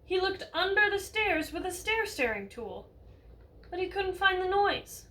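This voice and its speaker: A woman reading a picture story aloud like a teacher, animated and chatting to young listeners with questions. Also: very high voice, a little slow. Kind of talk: expressive read-aloud story narration with asides to children. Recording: distant mic, roomy background noise.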